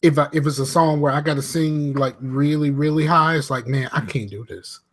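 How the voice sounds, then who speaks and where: A man's voice: on the high side, brisk, a bit bright. Joking slangy man co-host. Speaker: podcast co-host. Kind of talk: casual podcast chat.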